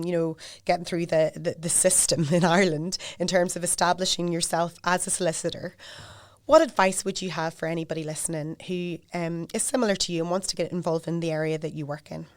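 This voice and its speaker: A woman hosting a podcast interview, asking measured questions with frequent ums and affirming her guest. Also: fairly low pitch, level tone, no room sound, studio quality. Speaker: podcast host.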